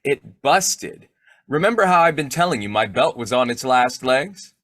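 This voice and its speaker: Male actor as folksy, wheedling comic radio husband; very lively intonation, close dry sound. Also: mid-range voice, moderate pace, no room sound. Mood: sly, grumbling. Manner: folksy, exclamatory, chuckling delivery. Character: boyish, wheedling, wisecracking husband of old-time radio comedy. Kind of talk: 1940s radio comedy acting.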